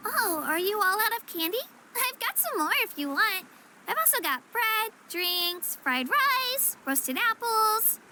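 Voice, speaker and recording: A woman's voice: very high-pitched, very animated, measured pace, background noise, exaggerated voice. A young woman playing an upbeat, helpful girl guide in a video game, speaking in a friendly, chatty, eagerly explaining way. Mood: cheerful, friendly. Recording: music behind the voice. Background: game music.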